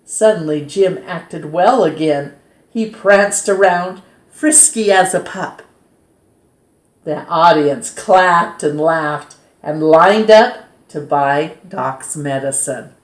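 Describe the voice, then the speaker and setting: A woman reads a children's story aloud as a storytime host, in an expressive, measured way that dramatizes key words. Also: sits low. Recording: roomy, echoing sound.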